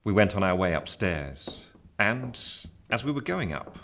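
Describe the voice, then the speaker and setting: A man's voice: fairly low pitch, big pitch swings, measured pace, a bit bright, full character voice. A man acting a reflective narrator who recalls his boyhood in a scripted radio drama. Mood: measured storytelling.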